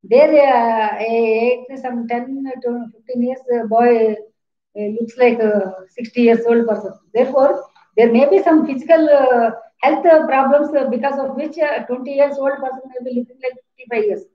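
A woman's voice: fairly high pitch, fairly even intonation, normal speed. A woman in her fifties with an Indian accent, lecturing an online class in a didactic, repetitive way with questions to students. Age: 50s. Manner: didactic, repeats points, poses questions. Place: small plain room indoors. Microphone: webcam or laptop mic at a distance. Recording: roomy, echoing, dull laptop-mic sound.

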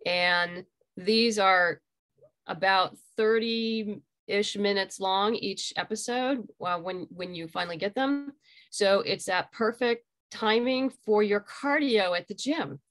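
Middle-aged woman explaining things, mid-pitched, halting. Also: fairly bright tone. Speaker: interview guest, audio drama creator. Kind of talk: casual explanatory talk. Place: small room at home. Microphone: video call.

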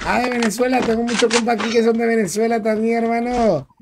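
A man from the Dominican Republic with a Dominican accent, chatting casually while he streams a game, upbeat, greeting viewers by name and repeating his phrases in quick bursts. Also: very high voice, steady tone, heavy background noise. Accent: Dominican Spanish. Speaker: gaming streamer. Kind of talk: casual live-stream gameplay chat.

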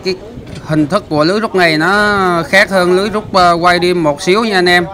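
A man's voice: high-pitched, fairly even intonation, brisk, bright, forceful tone. A man hosting a fishing channel, explaining the footage in a chatty voice-over with lots of filler sounds. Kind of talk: casual voice-over commentary.

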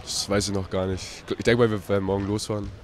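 A young basketball player giving calm, brief answers in a post-game interview, recorded on a handheld mic in an arena. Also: male voice, lowish voice, fast talker, a little hiss or hum. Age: young adult. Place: indoor arena.

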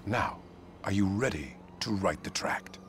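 An actor playing a serene, sly male cult leader in a video game, solemn and unctuous, breathy as phrases end. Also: deep voice, a little slow, a bit bright, a little hiss or hum. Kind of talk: video game cutscene acting.

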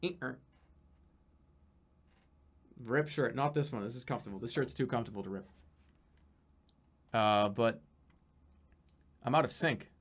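A man in his thirties chatting casually on a live stream from a small room at home, reading out donations, rambling and swearing, sometimes breaking into excited yelling. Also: medium pitch, unhurried, pauses often. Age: 30s.

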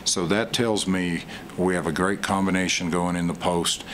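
A middle-aged man with a very low voice answers post-game questions as head coach. He speaks in a measured way with frequent pauses into a desk microphone. A faint room hum sits behind him. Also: fairly even intonation, fairly bright tone. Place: press room in front of a sponsor backdrop.

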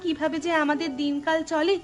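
Woman playing a high-voiced, outspoken cartoon wife. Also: little pitch movement, a little fast, soft background music, lightly acted. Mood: exasperated. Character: poor, outspoken, hardworking young wife. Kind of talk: cartoon story voice acting.

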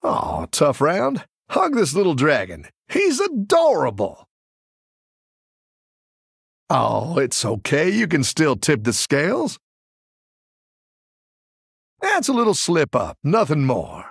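Folksy, husky, animated tavern keeper. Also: male voice, fairly high pitch, slow pace, pauses often, no room sound, clean studio sound, full character voice. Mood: consoling. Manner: warm, folksy, encouraging. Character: friendly, encouraging tavern keeper who tends little dragons. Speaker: video game character voice actor. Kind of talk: game character voice lines.

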